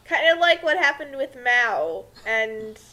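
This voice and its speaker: A woman's voice: a little high, sing-song, slow, sharp tone. A woman co-hosting a casual comic commentary in a sarcastic way. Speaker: co-host giving commentary.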